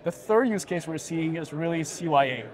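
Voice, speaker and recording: A middle-aged man giving an assured, agreeable and well-structured conversational pitch as an interview guest. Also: lively intonation, normal speed. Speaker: startup founder being interviewed. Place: busy indoor expo hall booth.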